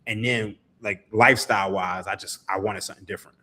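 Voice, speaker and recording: A man's voice: on the low side, steady tone, fast, sharp tone. A man, a podcast guest telling stories in casual chat, laughing and swearing freely. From Philadelphia, USA. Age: 30s. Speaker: podcast guest, sports writer.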